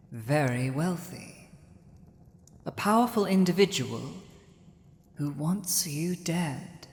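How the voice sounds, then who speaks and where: An imperious dragon ruler, acted by a woman, speaks very slowly in a low female voice, with commanding pauses. The villainous, protective creature sounds as if in a great hall with long reverb, in an ASMR fantasy drama. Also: full character voice. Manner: commanding, deliberate, pausing. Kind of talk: ASMR roleplay audio drama.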